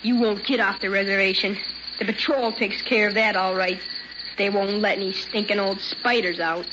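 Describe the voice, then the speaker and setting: A woman's voice: medium pitch, fairly even intonation, moderate pace, lightly acted. A woman playing a brash, curious young boy with blunt, childish talk in an old-time radio drama. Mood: defiant. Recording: muffled, noisy old radio recording.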